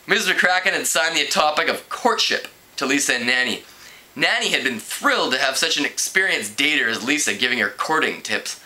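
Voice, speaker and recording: A man reads a story aloud as an amateur narrator. His voice is strikingly bright and forceful and sits a little higher than most men's. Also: animated, a little fast, low-quality recording. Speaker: amateur book reader.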